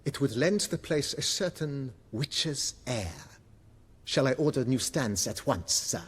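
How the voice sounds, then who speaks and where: A man playing a deferential, fussy butler in a video game, with a breathy, husky voice and formal, florid, obsequious speech. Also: mid-range voice, animated, measured pace, long reverb tail. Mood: eager, deferential. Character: deferential, fussy, eloquent male butler of an estate.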